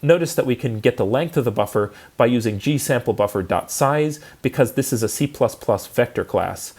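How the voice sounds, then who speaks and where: A man's voice: mid-range voice, animated, moderate pace, a little mellow, husky. A man giving an instructional lecture, explaining things methodically step by step.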